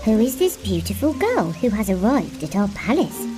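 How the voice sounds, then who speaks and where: A woman's voice: medium pitch, big pitch swings, moderate pace, music in the background, close to an everyday voice. A woman voicing a friendly, curious palace cat in a children's storybook, speaking expressively to young listeners. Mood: curious, kindly. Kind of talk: children's interactive storybook voice acting.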